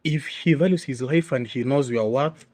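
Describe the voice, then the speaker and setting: A man with a Kenyan accent gives an expert interview in a dry, close recording. His pitch sits in the higher-middle range of ordinary male talk, and he explains his points firmly and emphatically. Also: moderate pace, darkish tone, dry recording. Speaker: interview guest, security and bodyguard expert.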